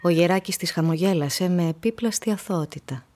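A woman narrating a literary radio play with measured, dramatic storytelling. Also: fairly low pitch, a little mellow.

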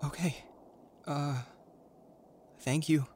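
An actor playing an anxious, awkward teenage boy in an audio drama, with a halting, dry, naturalistic delivery. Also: fairly high pitch, fairly even intonation, darkish tone, a little hiss or hum. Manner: halting, dry, self-conscious.

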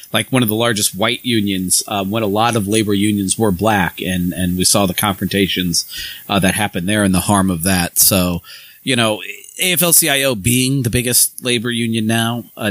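A man's voice: lowish voice, no echo. A man co-hosting a casual political podcast, talking in a rambling way with restarts and reading passages from a book. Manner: rambling, restarts words, lively emphasis. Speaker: podcast co-host.

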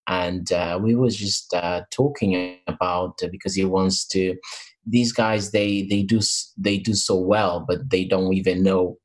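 低い声で言いよどむ男性. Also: male voice, deep voice, steady tone, a little slow, rough recording. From Portugal and Africa. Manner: hesitant, many fillers and restarts. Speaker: interview guest, mentor. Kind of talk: interview answers. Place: in England.

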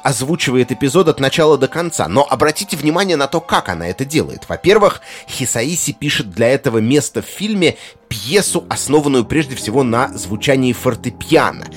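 A man presents an explanatory talk in a medium-pitched, animated voice. He phrases things articulately, leaning on key words and pausing deliberately. Music plays behind him. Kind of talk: explanatory video essay narration on film music.

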